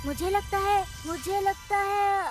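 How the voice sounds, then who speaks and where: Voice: very high-pitched, slow pace, over music. A performer voicing an eager, playful, boastful young animal cub in a dubbed children's cartoon.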